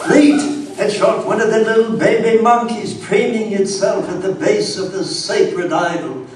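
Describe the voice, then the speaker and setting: A man's voice: sits high, unhurried, background noise. A man playing a haunted colonial Englishman who narrates a horror tale in a theatrical, suspenseful on live radio theatre. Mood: horrified, intense. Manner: theatrical, suspenseful, dwells on words. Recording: echoey hall, distant mics, live audience.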